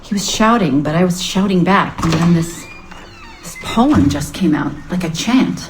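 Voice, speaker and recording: An actress playing an earnest, wry, driven research scientist in a video game. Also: female voice, on the low side, lively intonation, normal speed, over music. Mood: wry, curious. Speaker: researcher and test subject.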